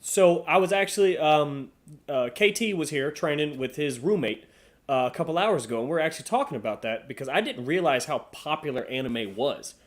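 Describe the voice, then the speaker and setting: A man hosting a podcast leads the interview with loose, easygoing questions, often pausing on fillers. His voice sits a little higher than most men's. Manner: relaxed, asks questions, frequent fillers. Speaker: podcast host. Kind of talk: casual interview chat.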